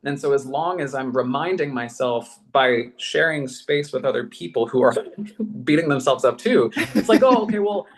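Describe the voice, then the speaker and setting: Man, medium pitch, casual panel talk, reflective, effusive, self-deprecating, halting delivery. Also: lively intonation. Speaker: panel guest, writer.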